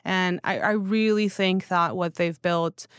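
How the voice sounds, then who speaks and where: A woman with a clean, smooth voice speaks as a guest in a casual interview, recorded dry in a studio. She is opinionated and emphatic, leaning on "like" and "you know" as she makes her points. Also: on the low side, fairly even intonation, a little slow, dry recording. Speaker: guest, newsletter writer and founder.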